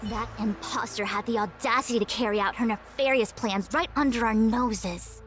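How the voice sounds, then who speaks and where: A woman's voice: fairly high pitch, normal speed, soft background music, natural voice. Woman acting a composed, commanding official. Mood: urgent, stern. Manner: formal, resolute, authoritative. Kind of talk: video game voice acting.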